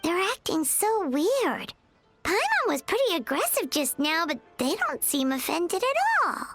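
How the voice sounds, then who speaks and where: A woman voicing a small, excitable, blunt fairy-like girl companion in a video game, squeaky and exclamatory. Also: unhurried, soft background music, heavily acted. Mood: baffled. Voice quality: squeaky, childlike. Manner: refers to self in the third person, exclamatory.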